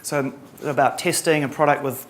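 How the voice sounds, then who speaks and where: A middle-aged man from New Zealand with a Kiwi accent, giving a relaxed conference talk with lots of ums and a dry sense of humor. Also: mid-range voice, moderate pace. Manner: casual, many ums and uhs, dry humor. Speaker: conference presenter.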